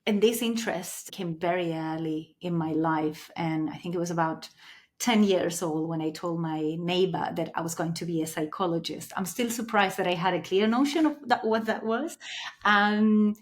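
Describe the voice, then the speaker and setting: Lower-voiced woman. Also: normal speed. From Canary Islands, Spain. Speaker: podcast guest, clinical psychologist. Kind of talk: reflective conversational interview answers.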